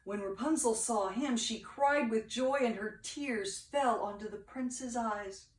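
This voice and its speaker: An older woman reads a storybook aloud expressively, with dramatic pauses and playful asides to children. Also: fairly high pitch. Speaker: grandmother reading to grandchildren. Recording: echoey room, distant mic.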